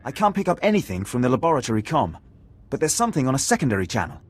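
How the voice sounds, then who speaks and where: An actor playing a young, eager military communications officer in a science fiction video game. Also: male voice, mid-range voice, big pitch swings, rapid-fire, slightly rough. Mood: brisk, dutiful. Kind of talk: dramatic game dialogue.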